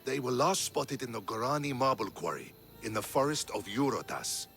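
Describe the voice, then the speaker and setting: An actor playing a shrewd, loyal Spartan officer in a video game, talking in a measured, explanatory way. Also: male voice, mid-range voice, sing-song, gravelly, fairly bright tone, faint music behind. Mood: serious briefing. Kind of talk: dramatic video game cutscene dialogue.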